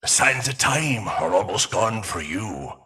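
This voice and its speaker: A man voicing a mischievous, menacing animatronic pirate fox in a video game, with a stage pirate accent, boisterous and taunting in a gravelly growl. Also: on the low side, lively intonation, measured pace, sharp tone. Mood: gleeful menace. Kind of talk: video game character voice lines.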